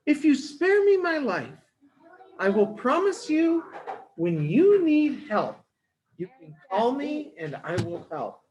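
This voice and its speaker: A man voicing a humble, polite mother mouse with a squeaky voice, pleading in a children's storybook reading. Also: huge pitch swings, slow, darkish tone, full character voice, rough recording. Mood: earnest pleading.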